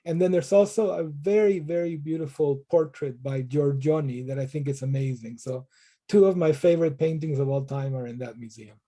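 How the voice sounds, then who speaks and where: Dark-toned man, reflective and halting. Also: fairly high pitch. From Peru. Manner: thoughtful, frequent word repetitions and restarts. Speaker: professor being interviewed. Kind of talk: conversational interview answers.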